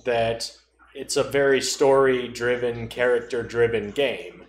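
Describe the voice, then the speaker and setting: A man in casual podcast conversation, speaking in a halting way as he thinks aloud. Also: medium pitch, fairly even intonation. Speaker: podcast co-host. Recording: roomy echo, faint background noise.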